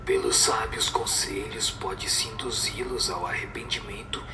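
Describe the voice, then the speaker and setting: A man playing a solemn spirit teacher in a radio drama, speaking in a measured, didactic and breathy whispered voice. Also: fairly low pitch. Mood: solemn instructive. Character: solemn male spirit teacher giving moral answers. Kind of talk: scripted radio drama recitation. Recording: echoing voice over music.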